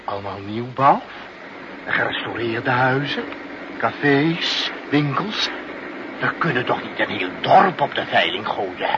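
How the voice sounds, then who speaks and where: A man's voice: mid-range voice, animated, takes it slowly. A man acting a pompous, flustered senior official in a Dutch radio play, blustering and then dithering. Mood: weary irritation. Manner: blustering, hesitant, rhetorical. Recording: muffled, noisy old radio play.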